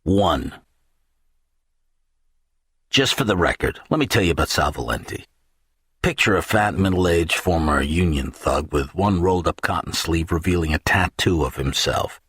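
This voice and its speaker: Male audiobook narrator, deep and raspy, wry theatrical read of a satirical first-person novel. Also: animated, moderate pace, a bit bright, no echo, clean studio sound. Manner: wry, dramatic delivery.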